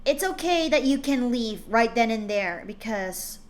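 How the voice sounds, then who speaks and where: A woman's voice: fairly high pitch, expressive, measured pace. A woman hosting a live stream, chatting casually with lots of fillers and restarts before reading fan fiction aloud.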